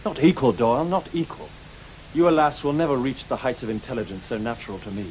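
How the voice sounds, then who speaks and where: A man acts a haughty, needy detective in a radio drama, in a medium-pitched voice. His diction is precise and his manner arrogant and theatrical. A faint hiss sits on the recording. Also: quick pace, a little mellow, close to an everyday voice, low-quality recording. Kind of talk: studio radio drama acting.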